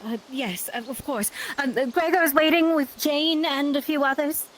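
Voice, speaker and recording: Woman acting as nervous townswoman, high voice, unsure, faltering delivery, roleplay, light background noise. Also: moderate pace, close to an everyday voice. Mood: flustered. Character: timid, uneasy townswoman. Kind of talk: improvised roleplay in virtual reality. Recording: faint hiss and background noise.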